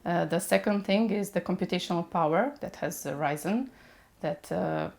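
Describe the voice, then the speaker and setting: A woman whose native language is Serbian, explaining machine learning as a podcast guest. Her voice sits low with a gravelly edge. She talks patiently and hesitates often while she finds the next word. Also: normal speed, a little mellow. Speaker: mechatronics engineer. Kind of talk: podcast interview.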